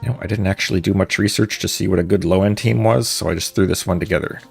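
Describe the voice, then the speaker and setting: A man streams a game with a low, gravelly voice full of fry. His commentary is casual, dry and offhand, with faint music underneath. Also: fairly even intonation, fast. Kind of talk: casual live playthrough commentary.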